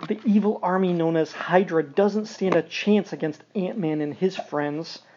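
A man's voice: high voice, measured pace, dark tone. A middle-aged man reads a picture book aloud to a young child, with wry, informal, conversational asides. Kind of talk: storybook read-aloud with casual asides. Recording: dull, muffled audio with background noise.

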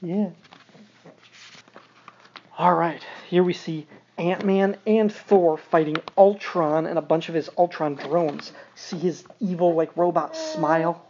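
Mellow man, dull muffled recording. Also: a little high, steady tone, slow pace. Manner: wry, informal, conversational. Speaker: podcast host reading a picture book aloud to a young child. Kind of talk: storybook read-aloud with casual asides. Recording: dull, muffled audio with background noise.

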